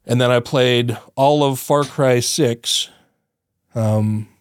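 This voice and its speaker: A man chatting casually on a podcast, his voice still a little congested and nasal. He rambles, swears freely and laughs often. His voice is medium-pitched, recorded close and dry. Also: fairly even intonation, slow, smooth, no room sound. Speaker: podcast co-host. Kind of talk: casual chat.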